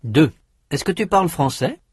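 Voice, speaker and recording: A man reading drill questions for a language course, in slow prompts with lively intonation. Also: on the high side, slightly rough, no echo, studio quality.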